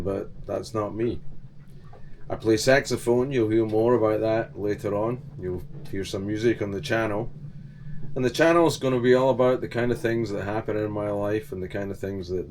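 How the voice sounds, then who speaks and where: A man's voice: on the low side, slight background noise. An older man from Scotland with a Scottish accent, talking plainly and casually to camera about his life aboard his sailboat. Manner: plain-spoken, relaxed, rambling. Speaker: channel host. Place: on board his sailboat, indoors in the cabin.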